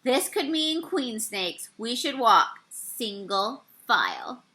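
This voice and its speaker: Lady reading as a storybook dog scout leader, bright high voice, bossy n wry, giving the troop instructions. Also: big pitch swings, slow, exaggerated voice. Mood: instructive. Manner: instructing, exclaiming, sometimes sarcastic. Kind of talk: children's storybook read-aloud.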